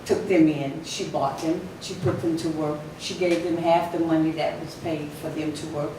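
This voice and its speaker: A woman with a low voice speaks at a podium in a ceremony, her voice a little weaker than usual. She tells her story emphatically, with a few fillers. She is picked up from a distance in a heavily echoing hall, with noise underneath. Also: steady tone, moderate pace. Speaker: society spokesperson. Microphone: podium microphone. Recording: echoey hall from far off, noisy.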